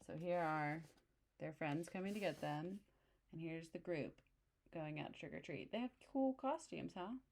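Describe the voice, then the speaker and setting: Low-voiced woman reading a children's story. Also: expressive, unhurried, vocal fry, a little mellow. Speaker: storybook reader. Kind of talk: expressive read-aloud for young children, with asides.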